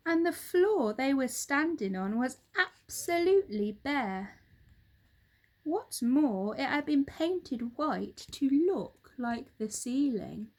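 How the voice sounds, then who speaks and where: Animated, high-voiced woman storyteller. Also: slow pace. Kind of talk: expressive children's story reading.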